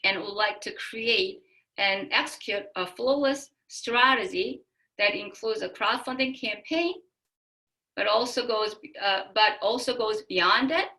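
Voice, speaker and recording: A woman's voice: on the high side, bright, forceful tone, poor audio. A woman presenting a scripted event welcome, reading prepared lines in short phrases. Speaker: event presenter and marketing lecturer.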